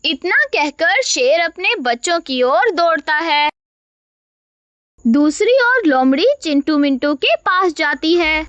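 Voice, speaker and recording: A woman narrates a children's cartoon fable from a script. Her voice is very high and animated, and she takes her time. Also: takes it slowly, dull audio.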